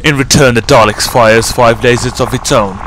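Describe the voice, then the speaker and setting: A man's voice: medium pitch, fairly even intonation, normal speed, a bit bright, a little hiss or hum, poor audio. A man reading scripted audiobook narration for a science-fiction story.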